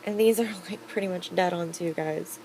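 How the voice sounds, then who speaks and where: A woman's voice: on the low side, normal speed, mellow, slight background noise, rough recording. A woman vlogger reviewing products in a casual, chatty, upbeat way, rambling with fillers. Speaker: beauty vlogger.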